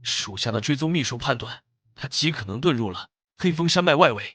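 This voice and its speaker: An actor playing a menacing assassin who serves a master, with theatrical delivery in an animated drama. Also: male voice, mid-range voice, a little fast, background noise, lightly acted. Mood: urgent, deferential. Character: menacing male assassin, deferential to his master. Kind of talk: animated motion-comic drama acting. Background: loud music.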